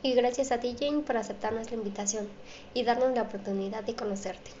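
A woman's voice: fairly high pitch, fairly even intonation, fairly quick, mellow. A woman hosting an interview show, reading out audience questions and presenting to viewers. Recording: dull, echoey room with faint noise.

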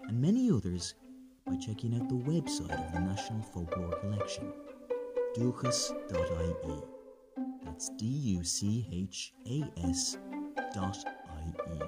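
A woman's voice: rumbling low, very animated, measured pace. A woman reading a scripted podcast announcement as the show's announcer. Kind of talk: scripted podcast sign-off narration. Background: loud plucked instrumental music.

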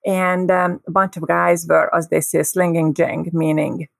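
Woman guest, vivid storyteller, gravelly texture, dry close sound. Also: fairly low pitch, a little slow, no echo. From Hungary. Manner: expressive, lively storyteller. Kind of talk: casual storytelling.

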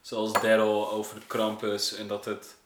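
A man's voice: fairly low pitch, completely flat delivery, a little slow. A man chatting casually in an informal, slangy way. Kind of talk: casual chat. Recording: echoey room with clear background noise.

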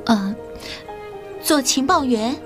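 A woman's voice: high voice, lively intonation, takes it slowly, music in the background. An actress playing an earnest young female schoolteacher in an audio drama, polite, careful and formal in the way she speaks. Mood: surprised questioning. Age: young adult.

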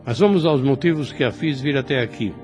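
A man's voice: mid-range voice, brisk, a little mellow, lightly acted. A man playing a kindly, fatherly older priest in a radio soap opera, with formal and solemn phrasing. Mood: solemn, approving. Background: music under the voice.

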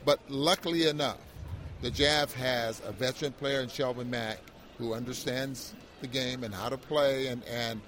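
A man giving conversational post-game analysis as a sports radio co-host, halting and repeating words as he agrees and expands. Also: mid-range voice, steady tone, a bit bright, slight background noise.

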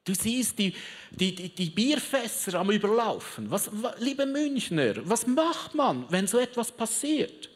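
Slow, theatrical preacher in a reverberant hall. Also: medium pitch, very animated. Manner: theatrical storyteller, rallies the crowd; chatty asides, joking with the audience. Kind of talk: lively sermon with audience participation. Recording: long reverb of a big hall.

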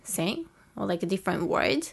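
A woman from Japan with a Japanese accent, chatting casually as a native-speaker guest, often pausing to search for words. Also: medium pitch, sing-song, vocal fry, breathy edge. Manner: hesitant, searching for words, many fillers. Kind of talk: casual chat.

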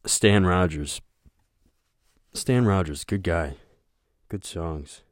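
Young guy with a really low flat monotone voice, rambling podcast chat, dry close sound. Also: extremely slow, no room sound. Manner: rambling, hesitant, many fillers. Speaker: podcast host. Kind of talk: casual solo podcast chat.

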